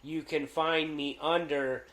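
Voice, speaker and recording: A man co-hosting a casual podcast, loud-voiced and rambling, with lots of fillers and restarts. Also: fairly high pitch, little pitch movement, slow pace, reverberant, poor audio. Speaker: podcast co-host.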